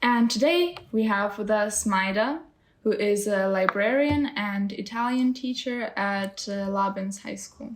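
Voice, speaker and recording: A woman's voice: mid-range voice, a little slow, background noise. A young woman from Ukraine, a volunteer hosting a podcast and introducing the guest. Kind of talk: podcast interview.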